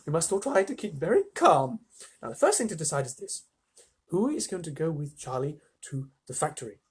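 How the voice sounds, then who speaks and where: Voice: mid-range voice, sing-song, brisk, slightly rough, heavily acted. A reader voicing a flustered, fussing mother. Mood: calm. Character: anxious caring mother.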